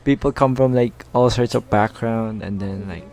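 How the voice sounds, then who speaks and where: A man's voice: on the low side, normal speed, gravelly, darkish tone, faint music behind. Easygoing young man who laughs often. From Philippines. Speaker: guest. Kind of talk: casual chat.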